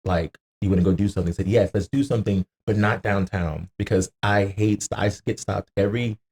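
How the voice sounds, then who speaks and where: Low-voiced man chatting casually. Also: steady tone, fairly quick, a little mellow, breathy edge. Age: 30s. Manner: slangy, sweary, acts out quoted remarks. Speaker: podcast guest. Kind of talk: casual chat, anecdotes.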